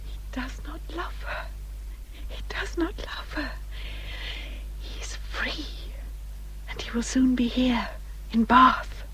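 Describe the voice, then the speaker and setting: An actress playing a gentle, reserved young English gentlewoman, polite and restrained, in a period radio drama. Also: sits high, slow, pauses often, whispered, heavily acted, poor audio. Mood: whispering, stirred.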